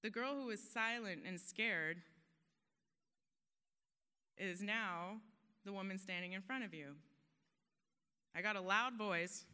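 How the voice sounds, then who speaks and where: A woman's voice: medium pitch, sharp tone, smooth. A woman giving an earnest, emphatic motivational talk on a conference stage, now and then laughing at herself. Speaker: conference speaker. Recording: long hall reverb.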